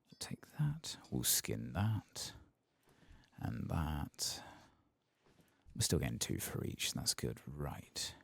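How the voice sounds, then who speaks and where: A soft-spoken man doing ASMR commentary on a video game, sometimes dropping into a whisper, with a husky, breathy voice. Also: a bass voice, wildly swooping pitch, slow pace, no echo. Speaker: game streamer narrating a playthrough.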